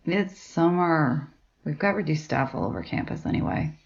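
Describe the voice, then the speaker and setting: A woman playing a blunt, bossy librarian in an audio drama, sarcastic and gruff in how she talks. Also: sits low, sing-song, moderate pace, dull audio, full character voice. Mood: impatient, pushy.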